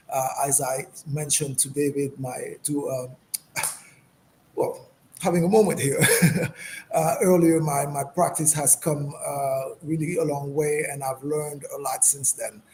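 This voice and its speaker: A man from Senegal with a fairly high-pitched voice speaks as a guest healer. His phrasing is thoughtful and halting, in a reflective conversational style. There is clear noise behind him. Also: fairly even intonation, mellow. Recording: clearly noisy background.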